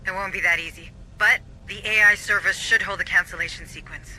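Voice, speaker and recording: A woman's voice: medium pitch, fairly even intonation, brisk, sharp tone, a little hiss or hum, low-quality recording. An actress voicing an earnest, anxious corporate official in a video game who guides a marine squad and is shaken by the deaths she finds. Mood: briefing. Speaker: station official guiding a marine squad by radio. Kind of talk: video game cutscene dialogue.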